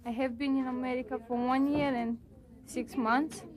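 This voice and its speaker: Hesitant teenage girl from Romania, high voice. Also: flat delivery, poor audio. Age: teens. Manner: halting, searching for words, with fillers. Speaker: student. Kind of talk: describing her class project.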